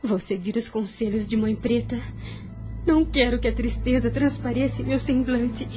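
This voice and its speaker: A Brazilian woman playing a sheltered, gentle young bride-to-be in an audio drama, with earnest, formal period speech. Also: on the high side, normal speed, faint music behind, lightly acted. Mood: troubled, resolute.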